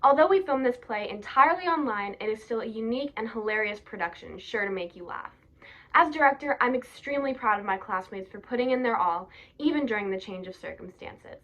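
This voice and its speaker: A woman's voice: on the high side, lively intonation, brisk, a bit bright, rough recording. A young woman giving a prepared welcome speech as student director of a play. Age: young adult. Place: small plain indoor room.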